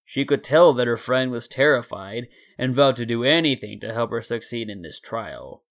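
A man's voice: medium pitch, expressive, moderate pace. A man in his twenties reading a scripted story as a dramatic narrator. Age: 20s. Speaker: story narrator and channel host.

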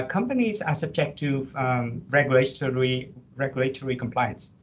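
A middle-aged man, a lecturer on a panel, explaining point by point with many fillers over a video call headset. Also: mid-range voice. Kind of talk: panel discussion answer. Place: office with headset. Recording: dull lo-fi video call audio.

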